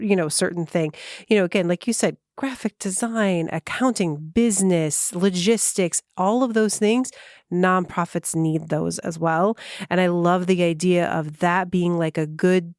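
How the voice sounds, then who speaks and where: A woman's voice: fairly low pitch, no echo, studio quality. A woman hosting a college career-services interview, agreeable and chatty, often hedging with fillers. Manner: warm agreeable listener, many fillers. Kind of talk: casual interview chat.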